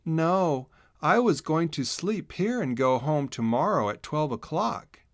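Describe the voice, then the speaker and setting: A man voicing a curious, gentle girl in a children's storybook, with an innocent, wondering manner. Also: fairly high pitch, expressive, unhurried, dry recording, clean studio sound, voice pushed up. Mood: matter-of-fact. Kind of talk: children's storybook read-aloud.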